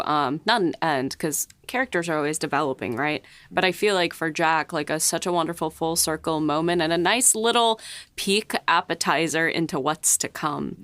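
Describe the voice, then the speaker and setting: A woman co-hosting a podcast, chatting casually and reflectively, pausing often as she finds her words. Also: sits low, fairly bright tone, dry recording. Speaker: podcast co-host and writer.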